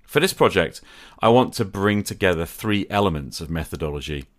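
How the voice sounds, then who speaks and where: A man hosting a podcast, delivering a scripted, reflective monologue in a measured, articulate way. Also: deep voice, expressive. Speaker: podcast host.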